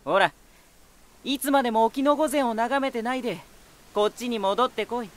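An actor playing a teasing, talkative lighthouse spirit in an anime-style voice drama, boyish and self-assured with an unsettling edge. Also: male voice, very high-pitched, moderate pace, a little hiss or hum. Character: playful male lighthouse spirit, teasing, talkative, eerily battle-hungry.

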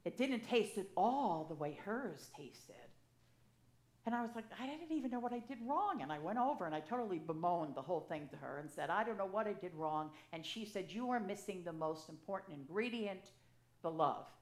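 A woman in her sixties, an assisting priest, preaching a sermon from the pulpit in a conversational, storytelling way with lively gestures, speaking into a pulpit microphone. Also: medium pitch, normal speed. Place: church sanctuary, at a pulpit. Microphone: gooseneck pulpit mic. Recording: echoey church sanctuary.

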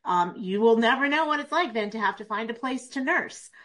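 A woman's voice: on the high side, expressive, fairly quick, a bit bright. A woman in her forties joining a panel discussion by video call, speaking emphatically and persuasively.

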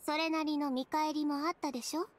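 A woman's voice: high voice, fairly even intonation, a little fast, a little hiss or hum, lightly acted. A woman playing a self-assured, blunt young fashion designer in an anime video game, confident and dryly teasing. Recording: game music behind the voice.

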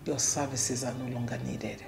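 A woman playing a stern, fed-up madam who is dismissing her maid, speaking in a low, gravelly, half-whispered voice. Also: steady tone, normal speed, music in the background.

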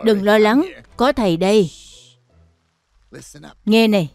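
A gentle bear mentor from a kids' cartoon. The voice sits well below the children's, measured and reassuring, patiently encouraging, with music underneath. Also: medium pitch, lively intonation. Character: adult bear teacher, calm, kindly, encouraging mentor. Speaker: teacher. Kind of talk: dubbed children's cartoon acting.

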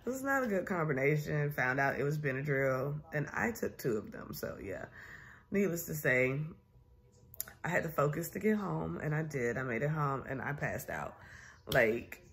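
A woman's voice: sits low, normal speed. Chatty woman vlogging from her armchair. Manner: candid, animated storytelling with laughs. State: recovering from a sinus infection, tired. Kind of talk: casual chatty vlog. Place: small room at home, seated in armchair. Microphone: phone camera at arm's length.

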